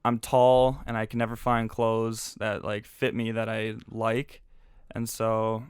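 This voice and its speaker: A man in his twenties from Minnesota, a podcast guest telling his story in relaxed, easygoing casual chat. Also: fairly low pitch, slow pace, smooth, dry recording, studio quality. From Minnesota, USA. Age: 20s.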